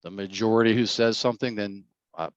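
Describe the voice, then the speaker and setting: A man's voice: on the low side, brisk, no room sound, highs cut off. A middle-aged man, a mayoral candidate answering interview questions in a blunt, rambling way and repeating his words.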